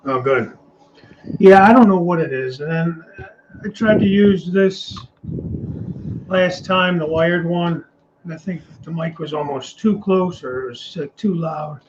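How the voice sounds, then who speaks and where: A man with a rough, mumbling voice, casually chatting on a live stream and reading out viewer comments. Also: fairly high pitch, measured pace. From United States. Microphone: home desk mic on a live stream. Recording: muffled stream audio with faint noise.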